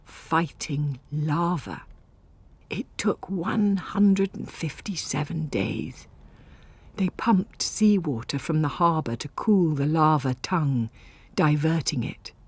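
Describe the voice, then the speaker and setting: A woman's voice: low-pitched, takes it slowly, a little mellow, slightly rough. A woman narrating a literary first-person story as a scripted audiobook reading.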